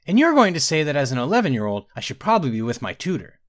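Guy doing a bratty royal kid, high voice, says every word all proper and regal. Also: sing-song, racing. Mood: petulant. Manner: enunciated, regal. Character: petulant eleven-year-old boy king, precocious and regal.

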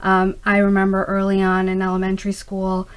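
Woman, middle-aged, native Urdu speaker, medium pitch, earnest, discursive, conversational interview guest. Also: completely flat delivery, brisk, smooth. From born in Karachi, Pakistan, raised in the United States from age five. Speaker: interview guest, startup founder.

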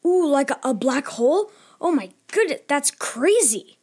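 High-pitched young boy, excitable, combative, brash teasing, children's audio drama. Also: a little slow. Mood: amazed. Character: excitable, combative boy eager for pirates and scrapes.

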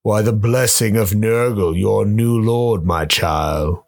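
A man's voice: on the low side, takes it slowly, dry recording. A man voicing a bloated old plague creature, preaching corruption in unctuous rhyme for a radio play. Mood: unctuous menace.

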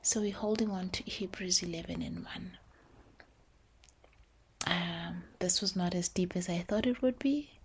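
A woman hosting a podcast, speaking softly and calmly in a reflective faith talk, slipping between English and Sesotho phrases. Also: on the low side, measured pace, long pauses, breathy edge. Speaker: podcast host.

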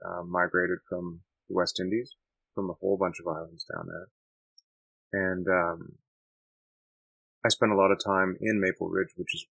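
A Canadian man answering interview questions in a thoughtful, halting way with many restarts. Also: low-pitched, gravelly, a bit bright, no room sound, rough recording. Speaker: musician guest.

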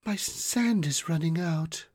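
Man voicing a fading fairy lady, soft, faint, high. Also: takes it slowly. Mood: weak. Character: weak, fading female sand fairy guardian.

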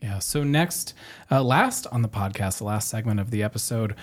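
A man's voice: fairly low pitch, lively intonation, moderate pace. A man co-hosting a podcast in a casual, chatty style. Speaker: podcast co-host.

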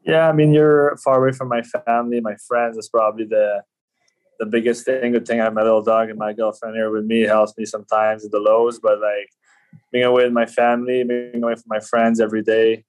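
A man's voice: lowish voice, level tone, a little fast, a little mellow, rough recording. A man in his twenties from Quebec with a French Canadian accent, a native French speaker, chatting casually as an interview guest. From Quebec City, Canada. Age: 20s. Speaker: podcast guest, professional hockey player.

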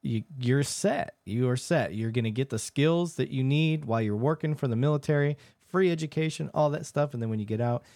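A man's voice: medium pitch, brisk, few pauses, a little mellow, dry recording. Man chatting informally, very dry sound. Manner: informal, conversational storytelling. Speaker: interviewer, Air Force veteran. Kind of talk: casual chat.

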